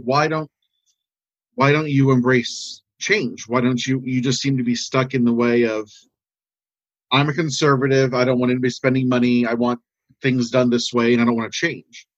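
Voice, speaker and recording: Male, American. Husky voice, muffled lo-fi sound, casual and earnest, rambles haltingly. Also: medium pitch, steady tone, dry recording. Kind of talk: casual conversation, earnest opinion.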